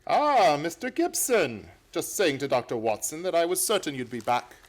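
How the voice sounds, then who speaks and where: An actor playing a brilliant, severe, self-assured English detective in a radio play, with a clipped, imperious, theatrical manner. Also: male voice, a little high, sing-song, moderate pace. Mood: brisk, confident.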